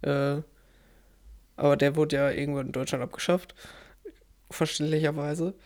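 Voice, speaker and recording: A man's voice: fairly high pitch, flat delivery, normal speed, a little mellow. A man interviewing a guest in a casual, curious way, asking follow-up questions. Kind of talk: casual conversation.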